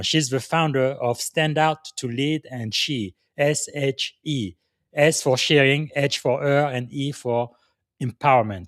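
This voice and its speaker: A man from Congo hosts a live podcast with an upbeat, emphatic delivery and plenty of fillers between phrases. His voice sits at an ordinary pitch for a man. The recording is dry, with little room sound. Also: dry recording. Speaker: podcast host.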